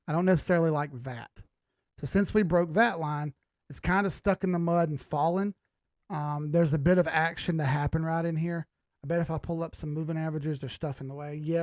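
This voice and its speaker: A man's voice: a little high, fairly even intonation, moderate pace, darkish tone, no room sound. A man hosting a live stream, giving casual commentary and thinking aloud in slangy, informal language. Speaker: host of a live market analysis stream.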